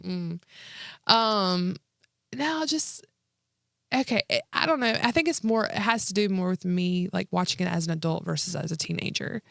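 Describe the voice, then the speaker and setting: Creaky-voiced woman, low, candid and slangy. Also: moderate pace, fairly bright tone, dry recording, clean studio sound. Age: 30s. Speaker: podcast co-host. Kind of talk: casual comedic chat.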